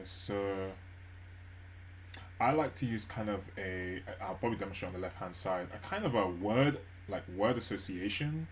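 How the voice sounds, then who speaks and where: A man live-streaming his language study, thinking aloud with many fillers and restarts at a gentle pace. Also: lowish voice, slight background noise. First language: English. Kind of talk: relaxed study stream narration.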